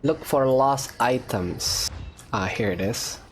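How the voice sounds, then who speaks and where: A man's voice: mid-range voice, slow pace. A man giving casual let's play commentary, reacting aloud and talking to himself. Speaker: gaming video host. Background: faint game music.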